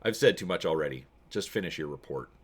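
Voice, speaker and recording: A man's voice: very deep voice, lively intonation, fast, slightly rough, heavily acted. A man voicing a grim, weathered older colonel in a story reading, confiding and wry, friendly yet coldly ruthless.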